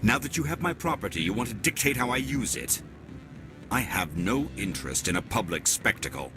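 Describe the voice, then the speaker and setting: Man proud game merchant, low voice, lively inflection, formal, guarded, music playing behind. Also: fairly quick. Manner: formal, precise, stately wording. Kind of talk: video game dialogue acting. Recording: music over the dialogue.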